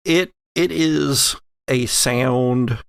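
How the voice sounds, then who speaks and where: A man narrating an improvised horror tabletop game as game master, with dramatic pauses and searching phrasing. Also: mid-range voice, gravelly, clean tone, no echo.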